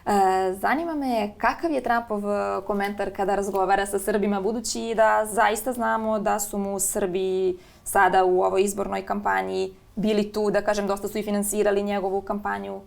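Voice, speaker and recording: Woman interviewer, very creaky voice, flat delivery, measured questions with fillers. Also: medium pitch.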